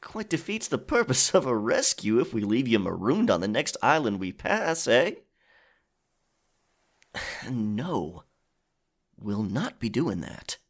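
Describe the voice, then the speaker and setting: Guy doing a roguish pirate captain for asmr roleplay, husky, swings up and down a lot, gallant but firm. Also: mid-range voice, very animated, slow, long pauses, heavily acted. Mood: firm, persuasive. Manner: gallant, coaxing, old-fashioned phrasing. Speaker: audio roleplay performer. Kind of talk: ASMR audio roleplay, intimate dramatic acting.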